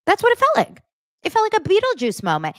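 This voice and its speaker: A woman's voice: very high voice, wildly swooping pitch, fast, dry recording, clean studio sound. A woman hosting a daily pop culture show, chatting to her audience in a gossipy, exaggerated way. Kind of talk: casual chat to camera.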